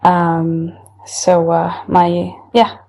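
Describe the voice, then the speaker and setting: A woman's voice: fairly low pitch, completely flat delivery, very slow, gravelly, mellow. A young woman from Italy, a singer-songwriter, speaking English with an Italian accent in a casual interview, thoughtful and often restarting her sentences.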